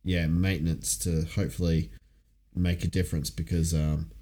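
Deep mellow man. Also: flat delivery, moderate pace. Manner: easygoing, laughs often. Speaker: podcast co-host. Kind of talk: casual chat.

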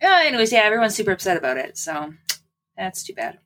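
Female co-host, medium pitch with animated swings, chatty book recap style, wry and sarcastic. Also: fast talker. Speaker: podcast co-host. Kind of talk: casual book recap chat.

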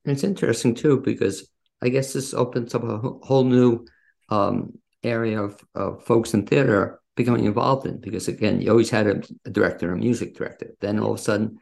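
A man's voice: on the low side, fairly even intonation, a little fast, creaky, darkish tone. A man hosting a casual interview, rambling a little as he circles toward his questions. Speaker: interview host.

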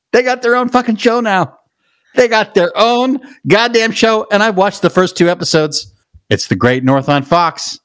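A man hosting a podcast in casual chat. He talks in a loud, profane and playful way, with sudden high whoops. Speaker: podcast host.

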